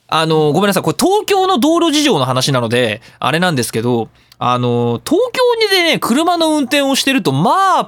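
A male radio host talks quickly in a high voice for a man, riffing casually and theatrically. The recording is dry and close, with his animated emphasis carrying the monologue. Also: dry recording.

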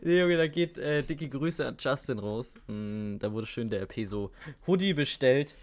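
A man's voice: mid-range voice, expressive, unhurried. A young man co-hosting a podcast, telling joking stories in heavy youth slang on a home mic. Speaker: podcast co-host. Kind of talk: casual chat with youth slang.

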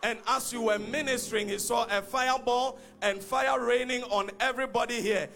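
A man's voice: very high-pitched, fairly even intonation, normal speed, pushed and bright, faint music behind. A man, a pastor, earnestly giving a testimony in church. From Ghana. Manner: earnest, recounting a story.

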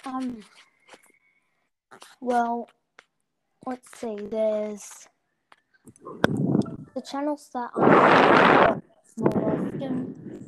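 A woman's voice: a little high, very noisy. Hesitant young woman gamer on a cheap mic. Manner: hesitant, many ums and trailing sentences. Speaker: interview guest, gaming YouTuber. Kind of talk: casual chat. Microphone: cheap built-in mic.

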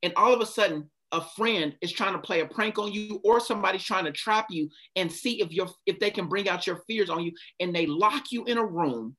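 A man's voice: high voice, fairly quick, hardly any pauses, fairly bright tone. A man giving a passionate motivational talk, stopping often to ask his listeners questions. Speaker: speaker leading a group talk.

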